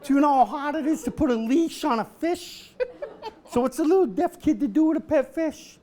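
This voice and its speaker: A middle-aged man doing a stand-up comedy set at an event, with a deadpan delivery that bursts into shouted singing. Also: extremely high pitch, fairly quick. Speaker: comedian host. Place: event hall with a live audience.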